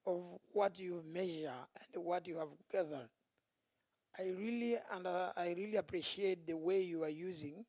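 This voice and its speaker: A man with a Tanzanian accent asking spontaneous questions from the floor at a conference, halting and repeating himself. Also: sits high, dry recording. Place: indoor conference.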